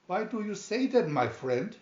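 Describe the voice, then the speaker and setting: A man reading the part of an educated, cunning, haughty young gentleman hero in a storybook, in a measured, declamatory way. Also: high-pitched, big pitch swings, pitched above the performer's own voice. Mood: puzzled. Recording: roomy, dull home recording.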